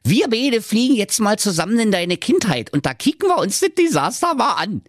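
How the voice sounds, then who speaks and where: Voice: medium pitch, sing-song, moderate pace, no echo, clean studio sound, voice pushed up, natural voice. A performer voicing a cheeky, bossy little male dwarf ghost, brash and impatient, in a comic storybook tale.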